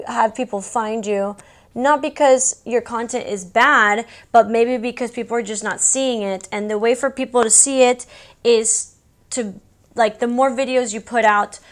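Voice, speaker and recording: A young woman from Mexico City and Houston whose first language is Spanish, chatting casually and candidly as a beauty YouTuber answering viewers. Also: fairly high pitch. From born in Mexico City, raised in Houston, USA. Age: young adult. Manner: candid, informal, conversational asides.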